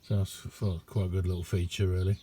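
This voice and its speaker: A middle-aged man, giving a casual, halting and unhurried hands-on demonstration as a radio hobbyist. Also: sits low, level tone, warm tone, a little hiss or hum. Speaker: radio hobbyist showing a homemade receiver. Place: indoor hobby radio room.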